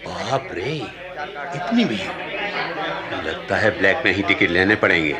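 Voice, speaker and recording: A man's voice: deep voice, very animated, slow, exaggerated voice. A man acting a grumbling, exasperated husband in a radio play. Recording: crowd noise behind.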